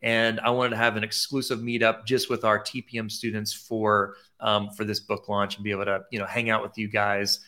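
A man's voice: lowish voice, little pitch movement, fairly quick, few pauses, sharp tone. A man in his thirties hosting a casual online chat as a photography mentor, upbeat and chatty with frequent restarts. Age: 30s.

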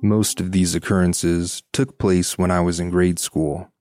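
A man's voice: low voice, level tone, unhurried, darkish tone, dry recording. A man reading a first-person story as scripted podcast narration.

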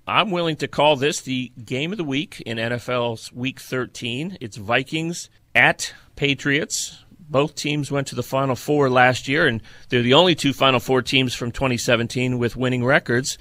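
A middle-aged man hosting a football podcast, talking through sports analysis in a measured, detailed, conversational way. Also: medium pitch, sharp tone, no room sound.

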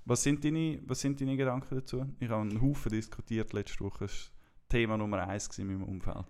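A man with a Swiss German accent, co-hosting a podcast in calm, measured casual chat. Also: mid-range voice, clean tone. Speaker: podcast co-host.

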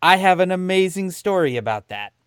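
A man co-hosts a podcast in an easy, agreeable way, full of enthusiasm. His voice is animated and rises and falls a lot. The sound is dry and close. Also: sits high, brisk, smooth, no room sound. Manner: agreeable, enthusiastic, short replies. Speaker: podcast co-host. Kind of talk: casual chat.

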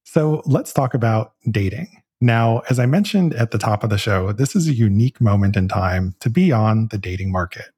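A man hosting a podcast, interviewing a guest in a measured, earnest way. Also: fairly low pitch, lively intonation, darkish tone, husky. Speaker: podcast host. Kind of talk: podcast interview and read ads.

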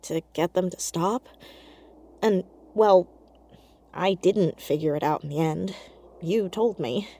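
An actress playing an earnest, caring teenage girl in an audio drama, speaking in a hesitant, halting, naturalistic way. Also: on the low side, warm tone. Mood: puzzled, guarded. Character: earnest, awkward, caring teenage girl amateur sleuth, hiding in fear.

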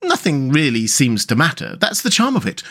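A man's voice: medium pitch, wildly swooping pitch, normal speed, fairly bright tone, exaggerated voice. A man voicing a cheerful, dreamy, boat-loving water rat from a storybook, chatty and rambling with enthusiasm. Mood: breezy, carefree.

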